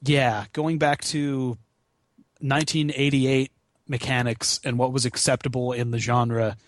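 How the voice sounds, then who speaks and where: A man's voice: medium pitch, little pitch movement, measured pace, no room sound. Sweary young man with a dry, close sound. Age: 20s. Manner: profane, slangy, self-deprecating. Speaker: podcast guest, gaming marathon runner. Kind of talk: casual rambling chat about video games.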